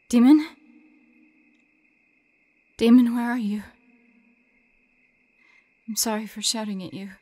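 A woman playing an anxious, forlorn young female hunter in an intimate audio roleplay, soft and breathy, often dropping to whispers. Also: medium pitch. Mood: confused, frightened.

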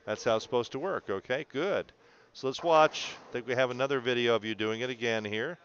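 A man's voice: mid-range voice, fairly quick, fairly bright tone, highs cut off, a little hiss or hum. A man coaching a student through video analysis in an encouraging, explanatory way, often checking in. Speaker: bowling coach.

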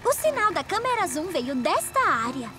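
Very high, eager cartoon scooter girl. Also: big pitch swings, moderate pace, heavy background noise. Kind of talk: children's cartoon acting.

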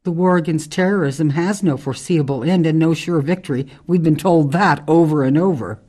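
Actress as a measured, tough ex-military ranger. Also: female voice, low voice, warm tone. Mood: grave, critical. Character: tough ex-military U.S. Forest Service ranger, woman, grave and outspoken. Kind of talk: radio drama acting.

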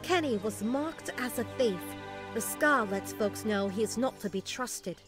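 A woman's voice: on the high side, expressive, a little slow, over music. An actress playing a distressed, folksy village woman telling of bandit raids in a fantasy game. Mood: indignant.

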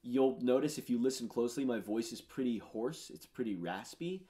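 A man's voice: medium pitch, moderate pace, mellow. A man in his thirties talking casually about his own songs in a home studio, rambling with lots of fillers and enthusiasm. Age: 30s. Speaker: musician discussing his album. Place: home studio with purple lighting.

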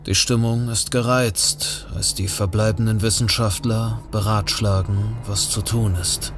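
A man's voice: fairly low pitch, fairly even intonation, a little slow, over music. A man giving scripted narration for an audio drama thriller.

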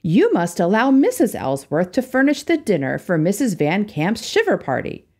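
A woman's voice: medium pitch, wildly swooping pitch, normal speed, mellow, husky, heavily acted. A woman reading as a hearty businessman. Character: middle-aged businessman, genial father.

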